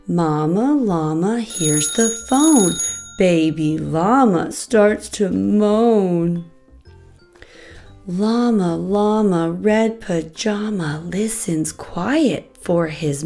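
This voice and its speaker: Woman reading aloud slowly to young children. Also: medium pitch, faint music behind. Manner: slow, expressive, addressing young children. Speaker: children's storybook reader and channel host.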